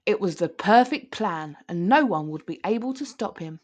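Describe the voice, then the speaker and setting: A woman's voice: medium pitch, lively intonation, moderate pace, darkish tone. A woman reading a children's picture book aloud in a theatrical storytelling manner, stretching key words for emphasis.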